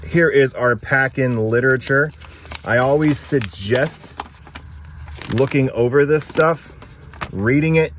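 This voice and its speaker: A man's voice: mid-range voice, slow pace, pauses often, fairly bright tone, a little hiss or hum. A man with a mid-range voice presents a product review. He talks casually, as the host of his own video. His delivery is plain-spoken and explanatory, walking the listener through things step by step. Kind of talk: casual unboxing and review narration.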